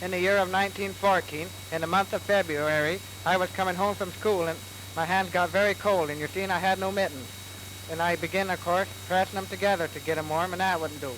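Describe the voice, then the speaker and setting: A man's voice: high-pitched, fairly even intonation, a little fast. A plain-spoken, folksy man telling an anecdote in a 1930s newsreel interview. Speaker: novelty musical performer. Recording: noisy, humming 1930s newsreel film soundtrack.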